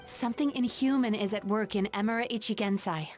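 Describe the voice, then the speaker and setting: A woman's voice: medium pitch, brisk, lightly acted. A woman voicing a caring, composed young female therapist in an anime game, articulate and polite but firm. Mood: grave, insistent. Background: faint background music.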